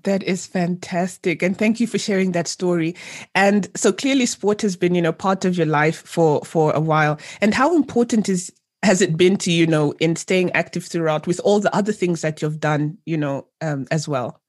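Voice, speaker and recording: A woman's voice: on the low side, normal speed. A woman from Zambia hosting a podcast, chatty and enthusiastic, with many fillers as she asks questions. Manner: warm, enthusiastic, many fillers like "you know". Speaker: podcast host. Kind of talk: casual interview chat.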